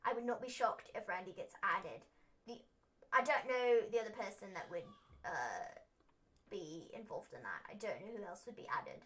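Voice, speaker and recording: A woman's voice: medium pitch, animated, normal speed, fairly bright tone. A young woman hosting a wrestling recap livestream, chatting casually, rambling and opinionated with lots of fillers.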